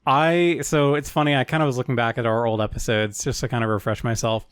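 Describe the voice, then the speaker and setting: A young man co-hosting a podcast, talking in a loose, rambling way and dropping casual swears. His voice sits at an ordinary pitch. The sound is close and dry. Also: fairly even intonation, fast talker, clean tone, dry recording. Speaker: podcast co-host. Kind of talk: casual chat.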